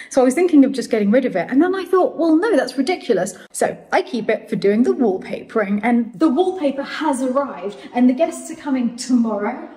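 A woman's voice: fairly high pitch, dark tone. A woman, chatting enthusiastically to her phone camera in a large bathroom while she works. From England. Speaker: vlog host. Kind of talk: casual vlog narration. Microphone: handheld phone camera at arm's length.

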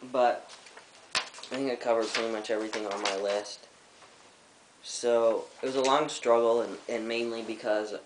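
A young man in his twenties sits on a living-room couch and talks to his camera in a casual vlog, halting and full of fillers. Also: low voice. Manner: halting, many fillers and restarts. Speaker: vlogger. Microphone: camera in front of him. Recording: roomy with faint hiss.

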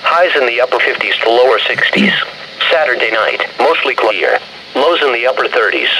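Automated male weather announcer: synthetic, measured, mid-range voice, heard over a handheld radio with plain background noise. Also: mid-range voice, sing-song. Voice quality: synthetic text-to-speech voice. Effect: played through a handheld radio speaker. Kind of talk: scripted weather bulletin narration. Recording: noisy handheld radio speaker in car.

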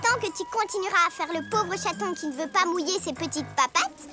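Cartoon girl villain: very high, gloating, commanding, theatrical. Music underneath. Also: lively intonation, a little fast. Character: mischievous young girl villain, gloating and bossy. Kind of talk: animated cartoon dub acting. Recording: music behind the voice. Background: cartoon score.

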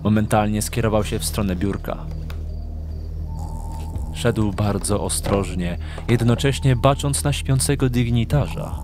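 A man narrating a scripted audio drama with measured storytelling delivery. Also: on the low side, over music.

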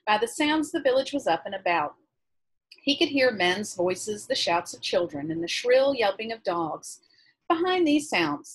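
Husky American woman reading aloud. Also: medium pitch, low-quality recording. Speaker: high school English teacher reading to class. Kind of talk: reading a novel aloud with teacherly asides. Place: small room at home, indoors.

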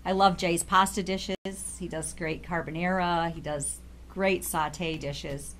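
Female restaurant manager, lowish pitch, proud and promotional, guest interview. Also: fairly even intonation, measured pace, a bit bright, a little hiss or hum. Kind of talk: casual interview.

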